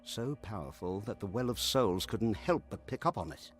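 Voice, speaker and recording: An actor playing a grave scholar in a fantasy game, speaking in a measured, ominous, expository manner. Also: male voice, lowish voice, lively intonation, soft background music, natural voice. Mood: intent, fascinated. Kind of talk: dramatic video game dialogue.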